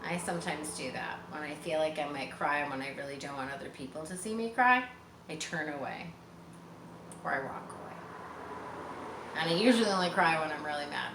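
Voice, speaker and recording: A woman reading a children's story aloud expressively, pausing with chatty explanations for young listeners. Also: deep voice. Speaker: storybook reader. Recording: roomy, distant mic, faint background noise.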